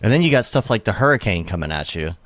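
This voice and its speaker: A man's voice: on the low side, very animated, fast talker, a bit bright. A man hosting a podcast in casual chat, rambling and restarting his thoughts as he goes. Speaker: podcast host.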